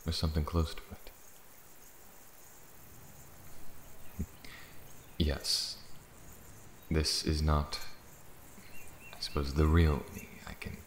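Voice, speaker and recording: Very deep ancient dragon, voiced by a man, echoing. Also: a little hiss or hum, heavily acted. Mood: condescending, amused. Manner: slow, deliberate, theatrical, breathy near-whispers at times. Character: ancient aloof dragon in elven-looking male mortal form, amused, proud. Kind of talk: ASMR fantasy roleplay. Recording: roomy echo, faint nature ambience with crickets.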